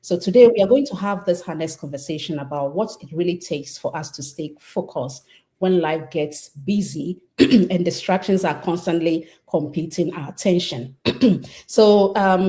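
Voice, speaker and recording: A woman from Ghana hosting a live online panel discussion, speaking in a measured way with occasional fillers. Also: on the low side, mellow. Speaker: host.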